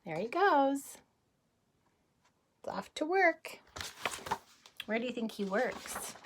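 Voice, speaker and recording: A woman teacher reading a picture book aloud to young children, expressive, with casual asides and questions to the listeners. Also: a little high, slow pace, slight background noise. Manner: expressive, addresses children with questions and simple explanations.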